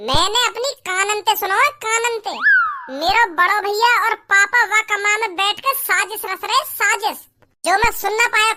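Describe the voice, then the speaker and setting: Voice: very high-pitched, pushed and bright, exaggerated voice. An actor voicing a cheeky, aggrieved cartoon schoolboy who complains and argues in a rural North Indian Hindi dialect. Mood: suspicious, hurt. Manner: whiny, indignant, argumentative. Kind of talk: comic cartoon dialogue.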